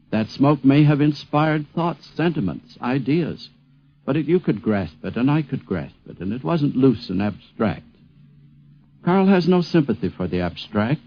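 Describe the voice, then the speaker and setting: A man speaking emphatically and repeating words for effect as he reminisces and explains, on a 1950s radio programme. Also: fairly high pitch. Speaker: interviewee recalling a poet friend. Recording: dull 1950s radio recording.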